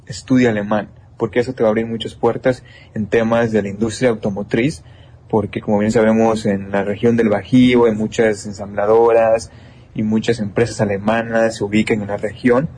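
Earnest young Mexican man, lowish voice. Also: flat delivery, a little fast, dark tone, slight background noise. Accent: Mexican Spanish. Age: young adult. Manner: earnest, rambling with many restarts and fillers. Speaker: engineering student guest. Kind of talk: interview answer.

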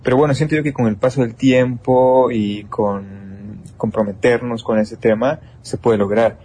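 A man's voice: medium pitch, steady tone, a little slow, darkish tone, a little hiss or hum. A young man with a Mexican accent, an engineering student answering interview questions earnestly, with many restarts and fillers. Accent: Mexican Spanish. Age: young adult.

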